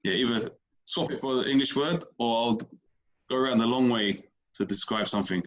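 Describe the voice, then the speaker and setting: A man's voice: medium pitch, a little fast, lo-fi sound. A man, a comedian guest on a podcast, chatting casually and rambling, repeating words as he explains. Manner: rambling, repeats words, talks in loose stories.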